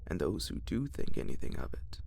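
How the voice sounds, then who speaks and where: A man voicing a grave, solemn old soldier in a low, gruff whisper. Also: wildly swooping pitch, brisk, mellow, slight background noise, full character voice. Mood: somber.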